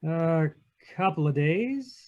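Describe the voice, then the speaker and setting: A man's voice: fairly high pitch, lively intonation, takes it slowly, lo-fi sound. A man playing a skeptical, slangy tough private detective in a 1940s-style radio drama read over a video call. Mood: wry, probing. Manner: casual, clipped, slangy.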